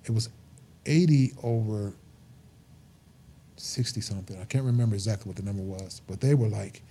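A man's voice: lowish voice, moderate pace, dark tone, a little hiss or hum. A man in his fifties, a truck driver being interviewed, talking earnestly and emphatically and repeating his points. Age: 50s. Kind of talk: casual interview talk.

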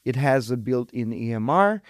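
Male interview guest, middle-aged surgeon, Ukrainian accent, emphatic explainer, mid-pitched, close dry sound. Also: animated, moderate pace, no room sound. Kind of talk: conversational interview.

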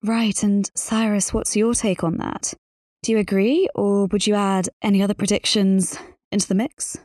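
A woman hosts a business interview in a medium-pitched voice. She moderates politely, handing questions to guests, and the sound is dry with no room around it. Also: level tone, normal speed, a little mellow, dry recording.

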